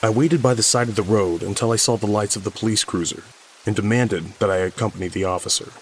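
A low-pitched male voice , an actor's, tells a first-person horror tale as a haunted, guilt-ridden survivor. He phrases it intensely with weighted pauses, while loud music and rain play behind him. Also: close to an everyday voice. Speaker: audio fiction narrator.

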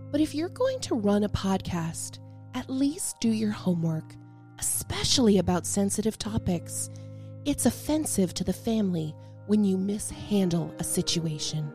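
A woman's voice: medium pitch, warm tone. A woman co-hosting a casual chat podcast, playful and self-deprecating, with a free, sweary way of talking. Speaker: podcast co-host.

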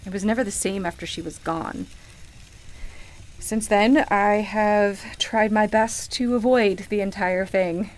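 A woman's voice: mid-range voice, fairly even intonation, a little slow, close to an everyday voice, poor audio. An actress in a radio play playing a sincere, wistful woman who reminisces hesitantly about her life. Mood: sad, guilty. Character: wistful woman grieving her beloved grandmother at Christmas. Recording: music playing behind the voice. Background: music.

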